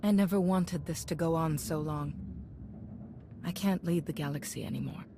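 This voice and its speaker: A woman playing a resolute but forgiving commander in a video game cutscene, measured and assured. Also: low-pitched, dark tone, a little hiss or hum. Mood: weary, composed. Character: resolute, forgiving female commander of a galactic alliance.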